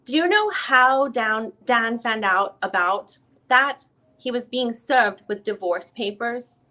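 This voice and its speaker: A woman reading the part of a female lawyer who questions a witness in a deposition, in halting, rephrased questions. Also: a little high, expressive, bright, forceful tone, full character voice. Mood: probing. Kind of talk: dramatic reading of a legal deposition transcript.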